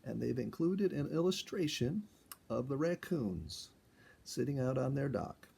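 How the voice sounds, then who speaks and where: A man reading a first-person animal story aloud in an expressive storyteller's style. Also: medium pitch, unhurried, vocal fry, slight background noise. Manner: expressive, acts out dialogue. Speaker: storyteller, reader.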